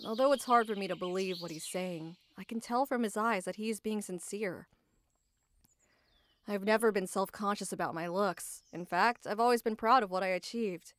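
A woman's voice: mid-range voice, normal speed, fairly bright tone, no room sound, natural voice. Woman acting a proud, guarded lady knight, dry recording. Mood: wondering, nervous. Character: tough, proud female knight, guarded and self-doubting about love. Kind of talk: anime ASMR roleplay acting.

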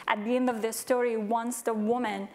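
A woman's voice: a little high, flat delivery, moderate pace. A woman in her thirties from Afghanistan, answering on a conference panel in earnest, with many fillers, on a lapel mic. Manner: earnest, many fillers, non-native phrasing. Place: large conference stage.

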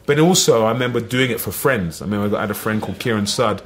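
Animated East London man telling stories. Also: lowish voice, fast. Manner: animated, fillers like "you know what I mean". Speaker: podcast guest. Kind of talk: casual storytelling.

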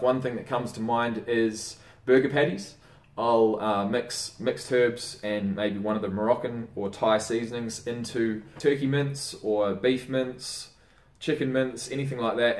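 A young Australian man casually giving diet tips in a chatty, swearing, relaxed vlog manner. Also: medium pitch. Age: young adult. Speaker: fitness vlogger. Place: indoor room by a window. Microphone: camera mic on desk. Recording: roomy, mic a bit distant.

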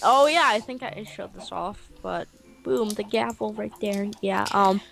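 Young female gamer, rambling jokey casual chat, medium pitch, music behind. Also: a little slow, vocal fry, fairly bright tone. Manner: rambling, joking, crude. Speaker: gamer in a multiplayer session.

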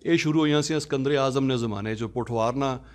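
A middle-aged man, a martial arts academy founder, introducing himself in relaxed, digressive conversation into a handheld mic in a conference room. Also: mid-range voice, a little fast.

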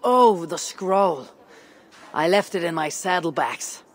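An actress playing a calm, direct Norse warrior woman in a video game cutscene. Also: on the low side, slow pace, big-room sound. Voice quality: plain, direct.

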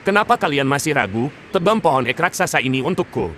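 A man's voice: fairly high pitch, sing-song, fast talker, fairly bright tone, slight background noise. A man voicing an arrogant, greedy king who boasts and barks commands in a dubbed cartoon. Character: arrogant greedy middle-aged male king.

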